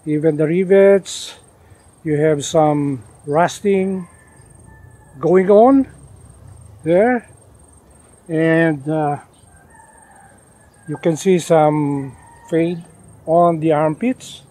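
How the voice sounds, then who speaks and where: An older man showing off his denim jacket outdoors, talking casually with lots of pauses and "uh" fillers. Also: fairly high pitch, dark tone, no echo. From Philippines. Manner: unhurried, many "uh" fillers and restarts. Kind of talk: casual show-and-tell. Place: outdoors in a leafy garden.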